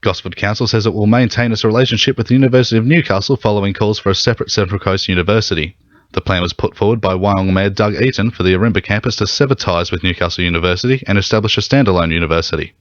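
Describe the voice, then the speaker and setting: A man's voice: lowish voice, racing, no echo, muffled recording. A man with an Australian accent reading scripted radio news.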